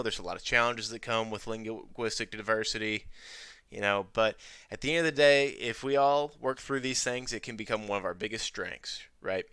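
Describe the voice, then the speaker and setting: A man's voice: lowish voice, sharp tone, clean tone, no room sound. A man presenting an educational tutorial in an informal, conversational way, often dropping in "you know". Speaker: presenter of an educational video on teaching ESL. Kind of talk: casual tutorial talk over a screen demonstration.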